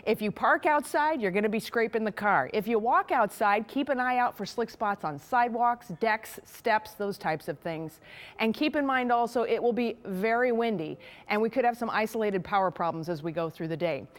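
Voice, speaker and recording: A woman's voice: on the high side, lively intonation, moderate pace. A woman meteorologist presenting a live weather forecast in a conversational, explanatory way. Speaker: television chief meteorologist.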